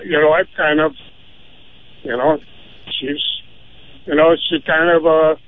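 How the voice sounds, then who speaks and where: A man's voice: on the high side, level tone, measured pace. A male caller from the American South, hesitant and apologetic, talking with radio hosts. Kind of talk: phone-in chat. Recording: tinny phone line with faint noise.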